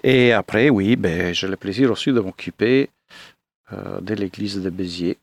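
A man's voice: low voice, measured pace, vocal fry, no room sound. A 57-year-old man from Italy speaking as a pastor in a radio interview, often hesitating as he searches for words.

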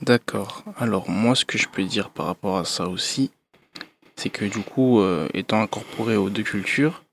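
Low gravelly male radio guest. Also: moderate pace, a little hiss or hum. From France, Gabonese parents. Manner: reflective, relaxed, with fillers. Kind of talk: radio interview. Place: radio studio.

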